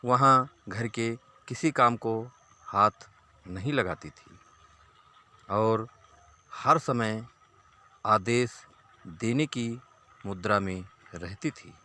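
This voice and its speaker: A male storyteller narrates a simple moral tale at an extremely slow pace. His voice is bright and forceful. He delivers the story deliberately, breaking it into short phrases for his listeners. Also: lowish voice.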